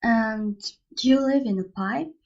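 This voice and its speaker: A woman playing a skeptical, dismissive mortal in a motion comic, with a husky voice. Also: a little high, a little slow, a little mellow, highs cut off. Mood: puzzled. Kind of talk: scripted motion comic dialogue.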